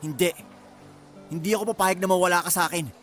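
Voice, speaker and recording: Possessive, insistent young ex-boyfriend. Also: male voice, high-pitched, fairly even intonation, fast, a bit bright, faint music behind. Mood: menacing. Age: young adult. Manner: pleading, insistent. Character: jealous, possessive young ex-boyfriend, pleading then menacing. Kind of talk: Tagalog radio drama acting.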